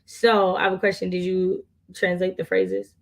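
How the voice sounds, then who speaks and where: An American woman co-hosting a casual book chat, telling things in an animated, slangy way. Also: lowish voice, normal speed. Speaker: book readalong co-host.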